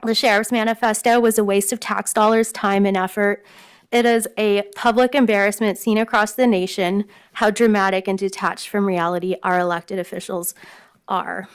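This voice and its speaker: A woman's voice: medium pitch, level tone, normal speed, clean tone. A woman with a mousy voice, giving earnest public comment at a city council meeting from prepared remarks, with frequent ums.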